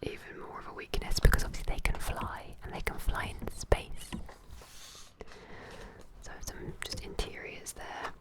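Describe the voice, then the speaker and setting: A woman in a soft, breathy, unvoiced whisper, giving gentle, chatty, admiring ASMR commentary at an unhurried pace. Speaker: ASMR host. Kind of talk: whispered ASMR commentary while leafing through an art book.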